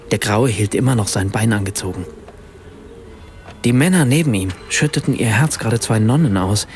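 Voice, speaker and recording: An actor narrating a radio drama as a self-conscious, ironic German writer, with faint noise behind. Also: male voice, mid-range voice, darkish tone, close to an everyday voice. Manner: ironic, reflective.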